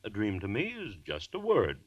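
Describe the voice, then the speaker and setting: A haunted, brooding accused murderer in a 1940s radio drama. His low voice carries anguished inner thoughts as dramatic monologue, on a dry, muffled vintage recording. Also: wildly swooping pitch, normal speed, no room sound. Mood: earnest, assured.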